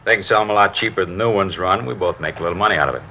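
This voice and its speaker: An actor playing a folksy, slippery garage owner in an American crime drama, rambling along with ingratiating friendliness. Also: male voice, deep voice, very fast, sharp tone. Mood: folksy, friendly. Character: chatty, folksy, slippery male garage owner feigning innocence. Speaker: actor in a police radio drama. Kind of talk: mid-century American crime drama acting. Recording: dull vintage broadcast, faint noise.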